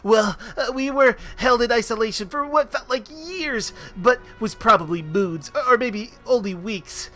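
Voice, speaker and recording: A man's voice: very high voice, a little slow, few pauses, a bit bright, faint music behind, voice pushed up. A man voicing a small, cheerful male airship mechanic, telling a story and tripping over words. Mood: recounting.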